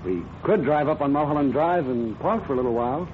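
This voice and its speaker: A man's voice: fairly high pitch, animated, fast talker, lightly acted. A man playing an earnest, boyish eighteen-year-old in a vintage radio drama. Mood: tender, eager. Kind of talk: 1940s radio acting. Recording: tinny vintage radio, hissy background noise.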